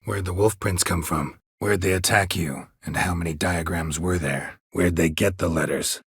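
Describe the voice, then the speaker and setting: An actor playing a stoic, world-weary monster hunter in a video game, with a gravelly, husky voice and terse, dry, deadpan delivery. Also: male voice, deep voice, moderate pace, dry recording, full character voice. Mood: guarded, probing.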